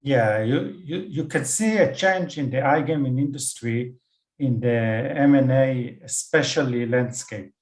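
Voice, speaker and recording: A man answering as a guest on an online panel, measured, with fillers. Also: medium pitch, darkish tone. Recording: roomy echo.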